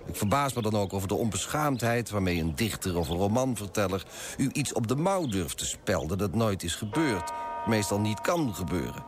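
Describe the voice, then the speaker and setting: Pompous, animated coffee broker. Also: male voice, on the low side, moderate pace, a crowd in the background. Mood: self-satisfied, pompous. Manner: pompous, emphatic, moralising. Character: pompous, self-righteous, penny-pinching Dutch coffee broker. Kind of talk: radio drama monologue.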